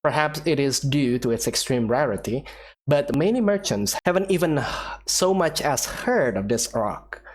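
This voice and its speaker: A man's voice: mid-range voice, lively intonation, moderate pace. A man hosting a game playthrough, giving casual, musing, somewhat hesitant commentary and reading in-game text aloud. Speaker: gaming host, player.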